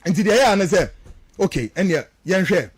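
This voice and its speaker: High-pitched, animated Ghanaian man, emphatic. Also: normal speed, slight background noise. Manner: emphatic, accusatory, repeats phrases for stress. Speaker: political commentator on a radio show. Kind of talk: impassioned talk radio commentary mixing two languages.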